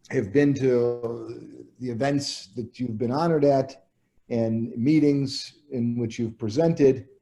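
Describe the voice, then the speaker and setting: A man's voice: mid-range voice, dark tone, dull audio. A man hosting an interview program, introducing his guest and asking long, lightly joking questions. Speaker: interview host. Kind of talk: hosted interview.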